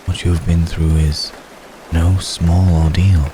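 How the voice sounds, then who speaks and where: An ancient vampire gentleman, played by a man, speaks in a very deep voice at an unhurried, lingering pace. He is gentle, protective and formal, pausing hesitantly as in an intimate ASMR roleplay. Steady rain is clearly heard behind him. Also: slight background noise, rough recording. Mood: tender, reassuring. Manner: hesitant, measured, formal phrasing with long pauses. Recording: close recording with steady rain ambience.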